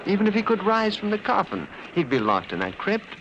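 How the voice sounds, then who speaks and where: A man's voice: high voice, wildly swooping pitch, a little fast, dull audio, heavily acted. An actor playing a skeptical, commanding man in 1940s radio drama, with an emphatic, theatrical delivery. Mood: dismissive. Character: skeptical, commanding nephew dismissing supernatural fears. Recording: noisy old radio recording.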